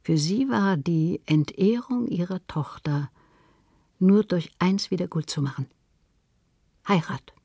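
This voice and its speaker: A woman's voice: on the low side, takes it slowly, long pauses, mellow, husky, dry recording, studio quality. A woman reading literary prose as a scripted narrator.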